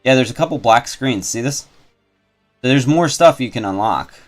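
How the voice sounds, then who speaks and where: A man's voice: medium pitch, animated, unhurried. A man doing casual livestream game commentary, rambling and thinking aloud as he goes. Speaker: video game streamer and host. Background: game music.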